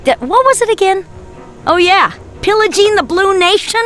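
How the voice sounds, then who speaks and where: A woman playing a plucky, wry young reporter heroine in an animated game cutscene. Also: extremely high pitch, moderate pace. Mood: sarcastic. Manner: wry, pert. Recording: loud music under voice.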